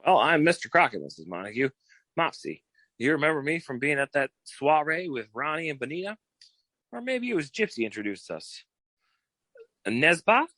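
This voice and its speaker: Man acting animated, chummy detective sidekick. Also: fairly high pitch, moderate pace, no room sound, full character voice. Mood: chummy, nosy. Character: chummy, name-dropping male detective sidekick in costume. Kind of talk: recreated 1940s radio drama acting.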